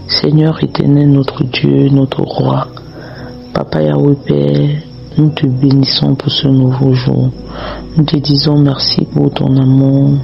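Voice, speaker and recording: Slow gravelly man preaching with a cold. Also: mid-range voice, lo-fi sound. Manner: slow, repetitive, prayerful. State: has a cold, slightly hoarse. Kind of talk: prayer and Bible teaching.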